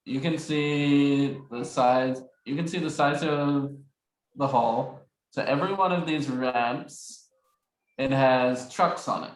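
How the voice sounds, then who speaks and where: A man's voice: mid-range voice, takes it slowly. A man presenting slides as a guest speaker on a video call, repeating phrases like a patient teacher. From Australia. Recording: roomy video call audio.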